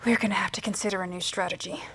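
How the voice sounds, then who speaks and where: Breathy, hushed survivor leader. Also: female voice, medium pitch, fairly even intonation, rapid-fire. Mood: alarmed, hushed. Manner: hushed, terse, urgent. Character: wary, resolute female leader of fugitives. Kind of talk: audio drama acting.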